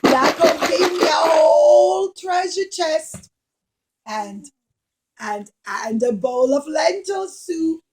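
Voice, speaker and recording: A woman voicing a rude, greedy, boastful young hunter in a children's puppet show, with a loud, gloating, blustering manner. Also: high voice, lively intonation, takes it slowly, pitched above the performer's own voice, rough recording.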